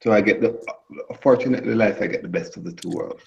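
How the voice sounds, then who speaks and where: A man's voice: on the low side, quick pace, gravelly. A man from Jamaica with a Jamaican accent, chatting casually in a group and often restarting his sentences. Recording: dull lo-fi audio.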